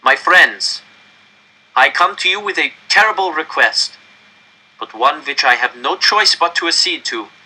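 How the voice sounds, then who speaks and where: A man voicing a desperate male council director in a story, addressing an angry crowd with loud, pleading oratory. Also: fairly high pitch, lively intonation, measured pace, husky, voice pushed up, exaggerated voice, low-quality recording. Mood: grave, insistent.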